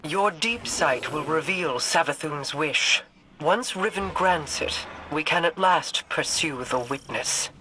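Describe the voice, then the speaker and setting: An actor playing a stern alien ruler who speaks formally and gives commands. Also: male voice, fairly high pitch, lively intonation, slow, background noise. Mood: commanding. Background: music.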